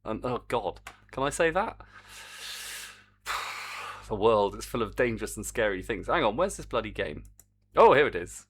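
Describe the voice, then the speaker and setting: A man's voice: fairly low pitch, big pitch swings, moderate pace, pauses often, a bit bright, husky. A man streaming his game development work, chatting to viewers in a rambling, self-mocking way. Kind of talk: casual live-stream commentary while coding.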